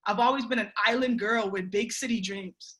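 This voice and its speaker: Upbeat Bahamian woman, bright voice. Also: on the high side, moderate pace. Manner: upbeat, chatty, lots of "you know" and "right". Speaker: guest speaker on a mentorship call. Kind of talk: motivational personal story. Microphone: video call.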